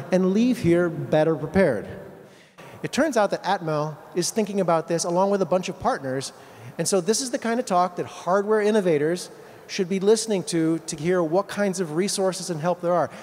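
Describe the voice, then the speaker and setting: A man's voice: high-pitched, a little mellow. A man acting as event host, earnestly explaining the event and introducing the next speaker. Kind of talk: introductory remarks. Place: large event hall. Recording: long hall reverb, faint background noise.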